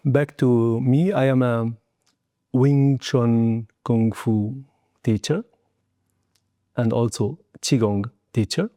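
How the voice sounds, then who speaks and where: A man in his sixties who grew up in southern Tunisia answers as an interview guest. His voice is mellow and relaxed, recorded close and dry. As a non-native speaker he picks his way through sentences in broken, hesitant phrases with small tags at the end. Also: mid-range voice, slow pace, pauses often, no room sound. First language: not English. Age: 60s. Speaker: guest, martial arts and Qigong teacher. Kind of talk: reflective personal interview talk.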